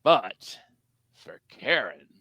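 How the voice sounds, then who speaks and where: A man's voice: medium pitch, big pitch swings, very slow, bright, forceful tone, husky, dry recording. A man narrating a children's story at a live script reading, with a storyteller's emphasis and wry asides.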